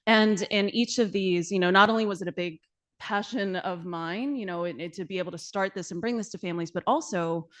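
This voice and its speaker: A woman from China, likely in her thirties, answering interview questions about her startup in an earnest way with lots of fillers and light laughs. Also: on the low side. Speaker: startup founder.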